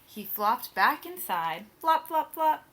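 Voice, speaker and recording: Animated, bright-voiced woman reading a picture book. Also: sits high, slow, slight background noise. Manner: playful sound words, emphatic. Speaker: read-aloud storyteller.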